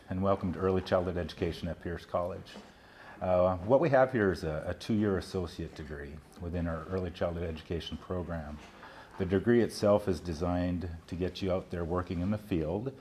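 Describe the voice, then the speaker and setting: A man's voice: deep voice, darkish tone. A man speaking as an instructor, explaining a program in a promotional interview. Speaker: early childhood education instructor. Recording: noticeable background noise.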